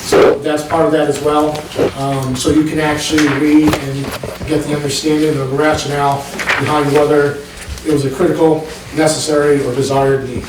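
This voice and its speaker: Brief: male presenter at town meeting, slightly high voice, distant mic in a very echoey room with background noise. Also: flat delivery, normal speed. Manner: explanatory, walks listeners through pages, frequent ums. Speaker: planning board member presenting.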